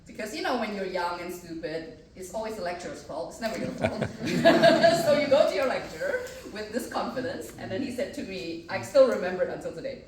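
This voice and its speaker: A woman's voice: medium pitch, sing-song, brisk, hardly any pauses, a crowd in the background. Echoey Indonesian-accented woman on a panel. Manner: earnest and chatty, with many fillers. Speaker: mathematics professor on a panel. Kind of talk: personal storytelling on a panel. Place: festival panel room. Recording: echoey room, distant mic.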